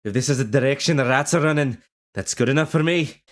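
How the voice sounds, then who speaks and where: A man's voice: medium pitch, quick pace, natural voice. A man playing a protective, fiery young Irishman in an audio drama, speaking urgently and emphatically. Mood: firm, caring.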